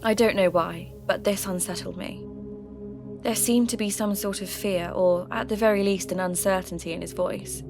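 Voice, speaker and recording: A woman playing a sceptical, intrigued local radio host who investigates ghost stories in measured, scripted podcast narration. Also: mid-range voice, steady tone, lightly acted. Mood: intrigued, uneasy. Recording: music bed under voice.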